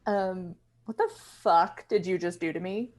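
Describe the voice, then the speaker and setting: A woman's voice: lowish voice, fairly even intonation, measured pace, a little mellow, natural voice. An actress playing a shy, apologetic, self-doubting young woman, hesitant and flustered, in a conversational table read. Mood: rattled, self-doubting.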